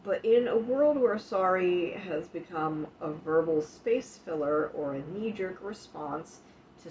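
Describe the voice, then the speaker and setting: Low, slow-talking woman with the flu. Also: a little mellow, a little hiss or hum. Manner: frank and profane, talks straight to chat. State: sniffly with flu, coughing. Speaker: livestream host. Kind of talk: casual livestream chat about self-care. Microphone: streaming mic. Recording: dull lo-fi stream audio.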